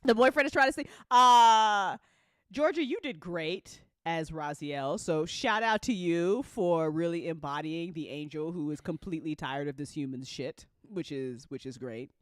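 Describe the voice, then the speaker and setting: Animated, clear-voiced host chatting casually. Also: medium pitch, unhurried, dry recording, clean studio sound. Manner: effusive, slangy, prone to laughing. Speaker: host of a fiction podcast after-show. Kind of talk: excited casual chat.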